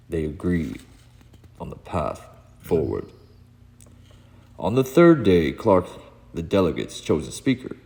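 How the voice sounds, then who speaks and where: A man narrating a comic book read-along in a dramatic, solemn, theatrical way with deliberate pauses. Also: deep voice, creaky, mellow. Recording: long hall reverb.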